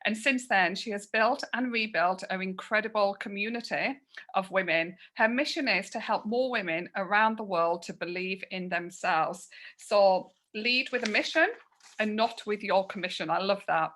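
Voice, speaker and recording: A woman's voice: mid-range voice, normal speed. A woman hosting an online session on a video call, introducing the next speaker and reading out her bio. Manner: warm introductions, reads a bio aloud. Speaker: host.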